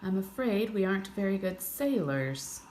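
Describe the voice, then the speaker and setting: Genteel lady deer captain for a storybook, earnest, raised swooping pitch a woman acting, roomy room. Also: big pitch swings, normal speed, a little mellow, natural voice. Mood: polite, apologetic. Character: female deer ship captain, welcoming but unsure. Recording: roomy echo, faint background noise.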